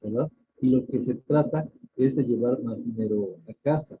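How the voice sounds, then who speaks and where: An older man with a Mexican accent, a doctor answering interview questions in a measured way, pausing often and restarting his sentences. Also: mid-range voice. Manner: measured, many hesitations and restarts. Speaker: ophthalmologist guest. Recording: tinny phone-like line.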